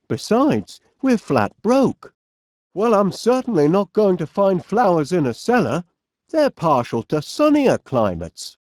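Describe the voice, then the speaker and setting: Middle-aged Northern English inventor, swooping lively intonation, unhurried, fussy, cheerful, bumbling, cartoon comedy game voice. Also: male voice, high voice, dry recording, studio quality, full character voice. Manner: bumbling, hesitant, polite, chatty. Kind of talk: animated comedy game voice acting.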